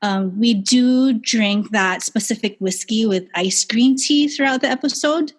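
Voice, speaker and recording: A woman from Hawaii, a podcast guest, speaking in a measured, steady way as if from notes. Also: fairly high pitch.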